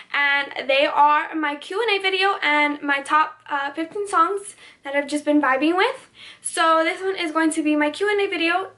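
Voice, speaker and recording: A woman's voice: high-pitched, pushed and bright. A teenage girl whose first language was Spanish, chatting casually through a Q&A on her vlog, with lots of "like" and restarted sentences. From United States. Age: teens. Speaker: beauty vlogger.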